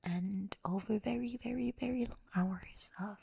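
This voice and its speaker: A woman whispers a bedtime story as a quirky anime girl in an ASMR roleplay. Her voice is breathy with touches of vocal fry, recorded very close and dry. She rambles casually, as if making it up as she goes. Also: medium pitch, unhurried, mellow, no echo. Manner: rambling, improvised teen-slang delivery. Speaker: ASMR roleplay performer and streamer.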